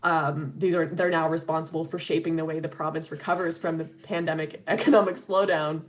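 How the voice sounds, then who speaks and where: A woman's voice: sits low, fairly even intonation, brisk. A woman giving an earnest, conversational webinar talk over a video call, full of fillers and restarts, her voice a little worn. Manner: earnest, many fillers and restarts, laughs lightly between points. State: slightly losing her voice. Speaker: webinar speaker for an advocacy organization.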